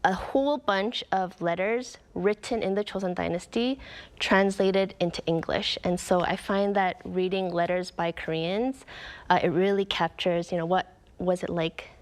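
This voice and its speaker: A woman's voice: fairly low pitch, fairly even intonation, moderate pace. A young woman author from Canada giving earnest, reflective interview answers, with frequent "um" and "like". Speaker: author guest.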